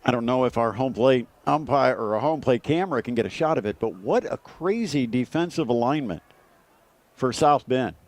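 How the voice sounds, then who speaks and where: A man's voice: medium pitch, animated, moderate pace. A man with an American accent giving live baseball commentary and breaking down the plays.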